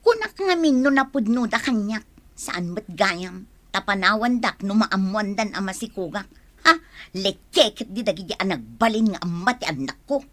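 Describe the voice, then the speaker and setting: A woman's voice: medium pitch, animated, moderate pace, fairly bright tone, close to an everyday voice. A woman playing a defiant young single mother in an audio drama, plaintive and argumentative. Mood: defiant, bitter. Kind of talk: Ilocano audio drama acting.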